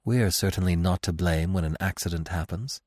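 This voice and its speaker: A man voicing a gossipy, self-justifying female magpie with a croaking voice in a storybook. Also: low voice, fairly quick, a little mellow, dry recording, studio quality. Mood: self-excusing.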